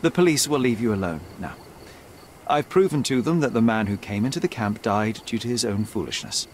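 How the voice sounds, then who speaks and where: An actor playing a young detective in a game, articulate, precise and self-assured. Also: male voice, lowish voice, a little fast, slight background noise, natural voice. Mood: earnest. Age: young adult. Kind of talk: dramatic game cutscene dialogue. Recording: faint night ambience behind.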